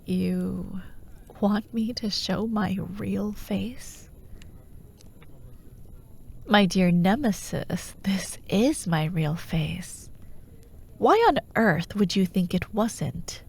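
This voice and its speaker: A woman playing a sardonic female fantasy villain who haunts dreams, in a breathy, often whispered ASMR roleplay with a wry, taunting manner. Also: medium pitch, a little hiss or hum. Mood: amused, incredulous. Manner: wry, taunting, theatrical, unhurried.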